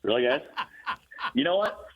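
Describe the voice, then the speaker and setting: A man speaking as a guest announcer in a casual sports radio interview, thinking aloud with halting, repeated words. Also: on the high side, big pitch swings, vocal fry. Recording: muffled phone-like line.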